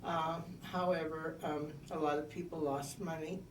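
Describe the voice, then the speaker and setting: A woman in her eighties telling her life story in an oral history interview, measured and reminiscing with frequent pauses. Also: deep voice, level tone, reverberant, far from the mic, a little hiss or hum, low-quality recording. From United States. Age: 80s.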